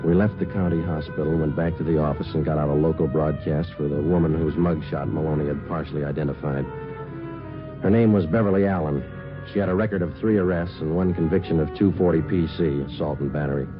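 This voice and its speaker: Very deep-voiced, deadpan police sergeant. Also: male voice, fast, music in the background. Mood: matter-of-fact. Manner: clipped, matter-of-fact, deadpan. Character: terse, businesslike male police detective sergeant, calm and procedural. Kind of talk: 1950s radio drama acting with scripted first-person narration. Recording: muffled old radio recording.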